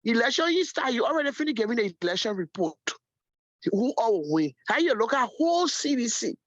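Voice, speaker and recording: A woman's voice: fairly high pitch, big pitch swings, a little fast, no room sound. A woman phoning in to give casual, opinionated commentary. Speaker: caller. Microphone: phone. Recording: muffled, band-limited phone call.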